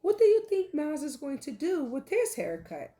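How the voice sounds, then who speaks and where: A woman reading a picture book aloud like a teacher, with animated, deliberate delivery and questions for young listeners. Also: high-pitched. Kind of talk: expressive children's read-aloud with questions to listeners.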